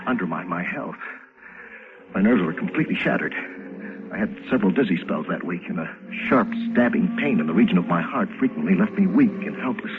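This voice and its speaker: An actor playing a desperate, obsessive poisoner in a melodramatic, intense old radio drama style. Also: male voice, on the low side, lightly acted. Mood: grim, obsessive. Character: desperate, obsessive man secretly poisoning a woman, confessing. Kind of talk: 1940s radio drama acting, first-person narration. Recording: tinny, band-limited vintage radio, music behind.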